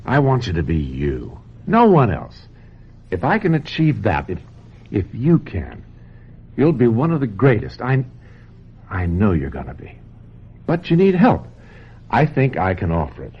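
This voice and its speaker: A domineering film director in a radio drama, with a low voice. His delivery swings widely in pitch, theatrical and condescending, leaning on key words to manipulate. The recording is somewhat dull. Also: huge pitch swings, husky, heavily acted. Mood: coaxing, controlling. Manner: theatrical, insistent, condescending, with deliberate emphatic phrasing.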